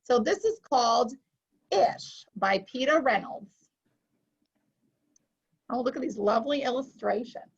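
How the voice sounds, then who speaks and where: A woman's voice: on the high side, animated, measured pace, creaky, pauses often, breathy edge. A woman hosting a children's story show, reading aloud and chatting with exclamations to young listeners. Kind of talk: children's read-aloud with chat. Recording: muffled lo-fi audio.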